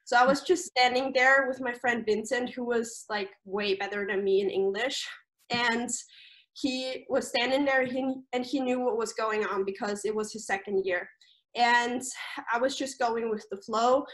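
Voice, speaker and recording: A woman's voice: a little high, steady tone, normal speed, a bit bright, rough recording. A young woman from Austria, a native German speaker with an Austrian German accent, chatting casually about camp in an interview over a video call, full of fillers and restarts. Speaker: camp staff member.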